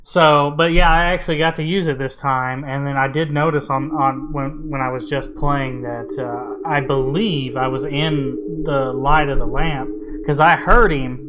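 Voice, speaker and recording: A man's voice: medium pitch, steady tone, a little slow, few pauses, fairly bright tone. A man chatting casually and informally as an interviewer in a gaming interview, with some mild swearing. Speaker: interviewer and gamer. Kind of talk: casual chat interview about a horror game. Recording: dull, noisy lo-fi voice recording.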